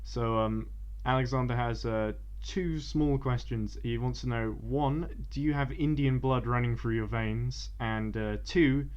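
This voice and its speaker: A man's voice: medium pitch, steady tone, a little slow, few pauses, darkish tone. Chatty man interviewer, muffled sound. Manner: chatty, laughs easily, fills with ums. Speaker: podcast host interviewer. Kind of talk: casual interview questions. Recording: muffled line with background buzz.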